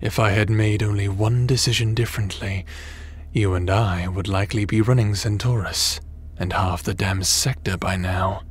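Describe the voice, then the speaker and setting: A man playing a smug, ruthless crime boss and sci-fi villain in an audio roleplay, taunting and theatrical in a deliberate delivery. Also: on the low side, fairly even intonation, husky.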